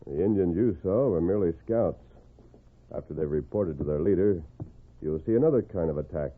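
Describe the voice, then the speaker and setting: An actor playing a masked heroic western lawman in 1940s radio style, speaking with firm, earnest, measured authority. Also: low-pitched, animated, slightly rough. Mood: grave, firm. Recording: tinny, noisy 1940s radio recording.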